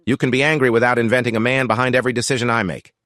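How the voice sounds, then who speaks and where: A man voicing a firm, independent woman cider maker with a measured, concise, plain-spoken manner in an audio drama. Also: medium pitch, fairly even intonation, a bit bright, dry recording, studio quality, close to an everyday voice. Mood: firm, level.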